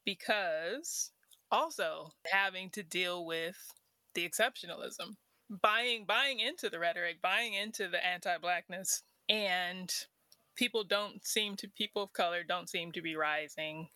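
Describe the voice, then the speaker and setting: Bright-toned female host, thoughtful and conversational, dry close-miked delivery. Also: on the low side, unhurried, no echo. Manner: thoughtful, many fillers like "like". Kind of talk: reflective casual conversation.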